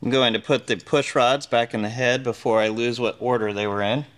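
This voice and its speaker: A man's voice: mid-range voice, steady tone, a little fast, a bit bright. Matter-of-fact man explaining in his garage. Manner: plain, matter-of-fact explaining while working. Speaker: host. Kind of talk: hands-on workshop demonstration. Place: large garage workshop, indoors.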